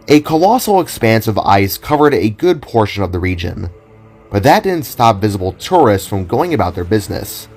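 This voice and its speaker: A man reading scripted fantasy narration for an audio drama in a measured, descriptive storybook way. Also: lowish voice, sing-song. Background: soft background music.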